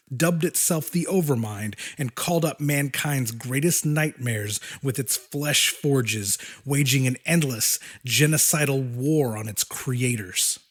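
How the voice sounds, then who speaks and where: A man narrating a scripted horror story as an audiobook reader, in a deliberate, dramatic manner. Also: mid-range voice.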